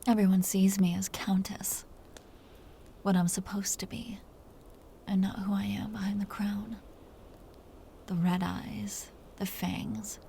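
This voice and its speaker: Slow, whispering woman as a tender vampire countess. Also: medium pitch, little pitch movement, slight background noise. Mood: vulnerable, wistful. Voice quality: soft, breathy whisper. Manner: slow, soothing, teasing endearments. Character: possessive, tender vampire countess doting on her captive. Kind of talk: intimate whispered ASMR roleplay.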